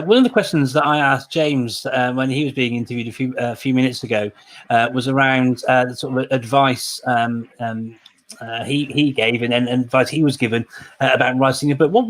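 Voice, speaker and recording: A man co-hosting an interview over a video call, polite and hesitant, using many fillers. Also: medium pitch. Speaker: co-host.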